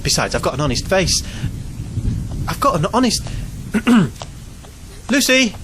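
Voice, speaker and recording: A man's voice: sits high, sing-song, slow, a bit bright, exaggerated voice. An actor with a British accent playing an awkward, self-deprecating, bewildered ordinary man in a nervous, rambling, wry audio drama style. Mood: nervous, flustered. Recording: clear background noise, outdoor vehicle ambience.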